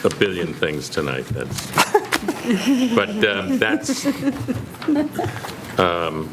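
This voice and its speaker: Lively man chairing, off-mic in noise. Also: low-pitched, very slow. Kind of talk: meeting remarks.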